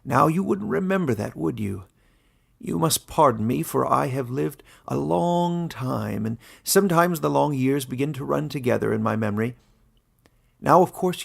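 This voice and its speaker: A man plays an elderly fantasy warden with a very creaky, husky voice. He is kindly and quietly playful, yet speaks with ceremonious, mysterious authority, pitched up and measured like a storybook elder. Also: exaggerated voice. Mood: wistful, playful.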